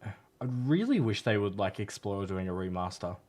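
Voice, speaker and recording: A man's voice: on the low side, very animated, moderate pace, a little mellow. A man chatting casually about games as a co-host on a podcast.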